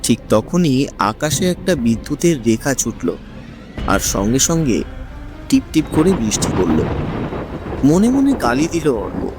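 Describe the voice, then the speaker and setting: A man narrating a horror story in a suspenseful, drawn-out, dramatic way, as the show's host. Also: medium pitch, sing-song, over music.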